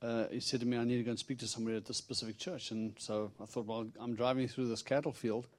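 A man's voice: mid-range voice, little pitch movement, fast talker, no room sound. A middle-aged man from South Africa with a Texan accent, preaching a chatty, humorous sermon and acting out his talks with God. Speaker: visiting preacher. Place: church.

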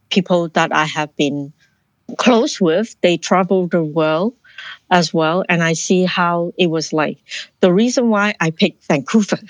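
Earnest, reflective woman guest, low voice. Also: dry recording. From Hong Kong. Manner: earnest and reflective, with frequent restarts. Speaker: interview guest. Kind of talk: personal life story in conversation.

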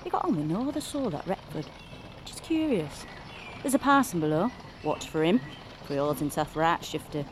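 Nosy, animated coach guard. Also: female voice, low-pitched, fairly quick, a little hiss or hum. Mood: conspiratorial. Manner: blunt, conspiratorial, rustic dialect. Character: wary, nosy, cheeky working-class Georgian coach guard. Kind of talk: audio drama acting.

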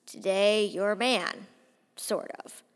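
A woman voicing a bitter, defiant young man in his late twenties who is pretending to be drunk, loud and mocking, in an audiobook. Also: mid-range voice, unhurried. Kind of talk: audiobook character voice. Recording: long hall reverb.

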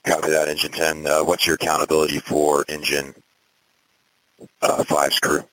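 A man's voice: extremely low pitch, completely flat delivery, moderate pace, fairly bright tone, dry recording, rough recording. A man working as a fire incident commander, giving clipped, composed orders over a two-way radio. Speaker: fire battalion chief acting as incident commander. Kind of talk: procedural emergency radio dispatch traffic.

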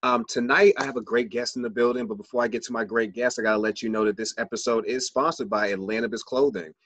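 A man's voice: medium pitch, fairly even intonation, fast talker, fairly bright tone, no echo. A man hosting a casual podcast interview, chatty and upbeat with loose restarts. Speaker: podcast host.

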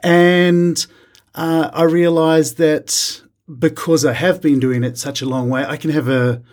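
Chatty New Zealand man, halting delivery. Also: a little high, little pitch movement, darkish tone. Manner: hesitant, many uh fillers and restarts. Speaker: chef. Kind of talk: casual interview conversation.

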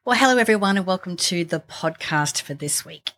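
Low-voiced woman podcast host. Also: expressive, a little fast, loud music behind the voice. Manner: friendly, chatty, laughs often. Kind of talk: podcast intro and interview.

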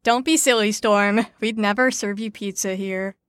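A woman's voice: medium pitch, fairly even intonation, normal speed, no room sound, lightly acted. A woman playing a sarcastic, breezy psychology teacher in an audio drama, with a mock-cheerful manner. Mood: sardonic.

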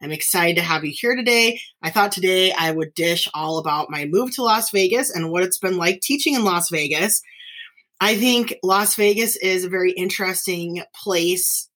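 A woman's voice: fairly low pitch, moderate pace, a bit bright. Chatty giggly woman podcast host. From northern Nevada, US. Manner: chatty, giggly, frequent fillers and self-corrections. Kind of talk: casual solo podcast chat.

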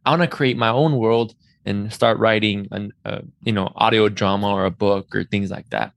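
A man's voice: on the low side, steady tone, normal speed, creaky, no echo. Enthusiastic man hosting, dry close sound. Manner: enthusiastic, effusive reactions. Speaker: podcast host. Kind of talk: casual chat interview.

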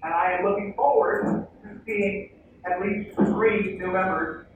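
A man giving an indignant, halting public comment to a meeting over a video call. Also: high-pitched, fairly even intonation, far from the mic. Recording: muffled noisy call through echoey room speakers.

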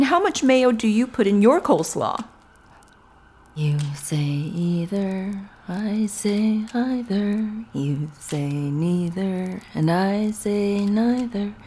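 An actress plays a willful woman in a stage play, covering fear with dry jokes. Her voice is husky and mid-pitched. She rambles with a wry, stubborn edge. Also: darkish tone, exaggerated voice.

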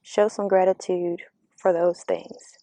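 A woman giving a reflective, earnest motivational talk outdoors, speaking slowly and asking the listener questions, her voice slightly raspy. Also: on the low side, flat delivery, warm tone, dry recording. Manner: slow, earnest, coaching, asks the listener questions. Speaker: vlogger giving spiritual encouragement. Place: outdoors among birds. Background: birdsong.

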